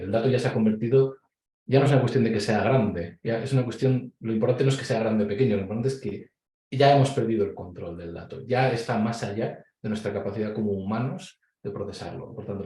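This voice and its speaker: A man with a Spain Spanish accent giving an online conference presentation, measured and explanatory, with frequent hesitations over a video call. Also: fairly low pitch, mellow. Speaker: conference speaker. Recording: echoey room.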